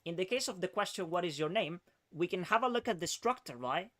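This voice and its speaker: A man's voice: sits high, animated, a little fast, fairly bright tone. A man teaching English one-on-one, patiently explaining question words and checking in often with "okay?", sometimes explaining in Spanish.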